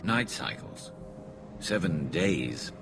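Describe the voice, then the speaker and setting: Male soldier sidekick for a game: extremely low, dry and understated, wry, under loud music. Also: big pitch swings, slow, heavily acted. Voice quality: gravelly. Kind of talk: video game character acting.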